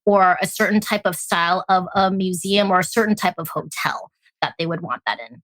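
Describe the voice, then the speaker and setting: A woman raised in Alaska and born in Hong Kong, a tea company founder chatting as a guest in an interview, lively and laughing often. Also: fairly low pitch, a little fast, no room sound. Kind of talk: casual interview chat.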